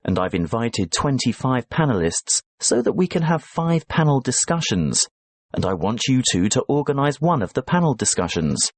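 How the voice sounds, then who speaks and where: Man as a brisk assured professor, lively pitch, dry close sound. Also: dry recording. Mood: explaining. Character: male professor guiding students, calm and brisk. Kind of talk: scripted exam dialogue.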